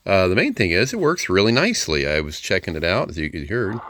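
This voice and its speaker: A man's voice: low-pitched, sing-song, fast, fairly bright tone, a little hiss or hum. A middle-aged man giving a casual, unscripted demonstration of equipment in a folksy, hesitant, plain-spoken way. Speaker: ham radio hobbyist presenting a receiver.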